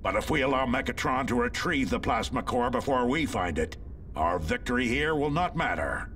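A man voicing a noble, steady male robot leader in a video game, deep and grave. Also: normal speed, slight background noise, close to an everyday voice. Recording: distant, with music.

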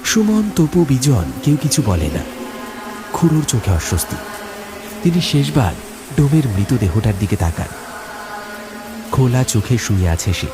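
Man, scripted horror narration, suspenseful, dramatic, expressive pitch movement, prominent background music. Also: moderate pace, pauses often.

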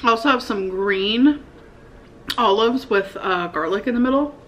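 A woman's voice: a little high, moderate pace, a bit bright, a little hiss or hum. A young adult American woman vlogging in a casual, slangy way, talking to camera at home. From United States. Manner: informal, slangy, frequent "like". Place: indoor living room at home.

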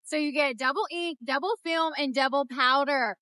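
A woman in her thirties hosting a vlog at a trade expo, giving an upbeat product demo pitch and repeating phrases for emphasis, filmed on a phone at arm's length. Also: normal speed, sharp tone, no room sound, clean studio sound. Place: busy indoor trade expo floor. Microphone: phone held at arm's length.

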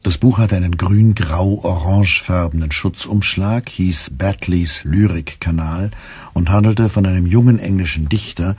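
A man with a very husky voice, narrating a scripted radio play in a sober, matter-of-fact way. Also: low-pitched, unhurried, a little mellow, dry recording.

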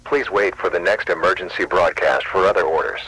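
Synthetic male alert voice: low, sharp-edged, slightly husky, dry, flat and official. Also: a little fast, dry recording, poor audio. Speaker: emergency broadcast announcer. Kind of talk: scripted emergency alert announcement.

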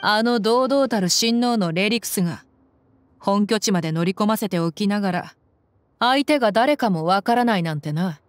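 A woman's voice: mid-range voice, lively intonation, normal speed, a little hiss or hum, natural voice. An actress playing a cool-headed, tough young mercenary woman in a video game, with a blunt, commanding manner. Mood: cool defiance. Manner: blunt, commanding, tomboyish speech. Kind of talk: Japanese game voice acting.

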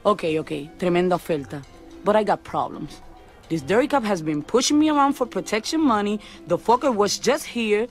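A woman plays a sly, flirty lounge owner, striking a bargain in a crime game. Soft music plays behind her. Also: medium pitch, expressive, normal speed, close to an everyday voice. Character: flirty, shrewd female Cuban lounge owner. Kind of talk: video game cutscene acting.

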